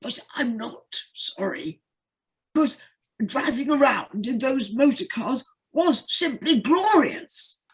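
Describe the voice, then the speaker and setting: Audio play toad, female actor: silly unrepentant show-off, hesitant, slow delivery, high breathy-rough voice. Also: animated, exaggerated voice. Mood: gleefully defiant. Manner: hesitant stammering, naive. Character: foolish, spoilt, unrepentant boastful male toad. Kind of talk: community audio play acting. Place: living room, recorded over video call.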